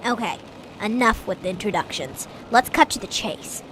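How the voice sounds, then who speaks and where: Lady doing a pushy conspiracy radio host, alarmist and blunt, normal pitch, noisy background. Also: lively intonation, moderate pace, a bit bright, close to an everyday voice. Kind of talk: amateur skit of a radio talk show. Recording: clear rumbling background noise.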